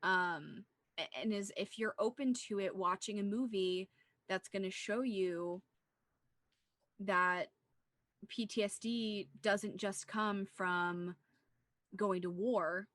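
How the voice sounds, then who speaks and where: A woman's voice: mid-range voice, slow, long pauses, a bit bright, dry recording, clean studio sound. A woman co-hosting a casual movie discussion, talking in an earnest, rambling way with plenty of "like". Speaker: podcast co-host.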